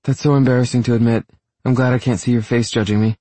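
Man acting young woman, audiobook dialogue, raised pitch, bubbly, self-conscious, sweet, gushing, dry sound. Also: fairly even intonation, quick pace, warm tone, no echo, natural voice. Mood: nervous, bashful.

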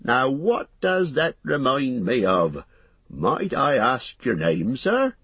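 A man's voice: mid-range voice, sing-song, slow, a bit bright, slightly rough, no echo, pitched above the performer's own voice, heavily acted. A man voicing a fat, harried, talkative innkeeper in an audiobook, chattering breathlessly in rustic, folksy phrases. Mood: bustling, hearty. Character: fat, bustling, harried, talkative middle-aged male innkeeper. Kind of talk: audiobook character voice.

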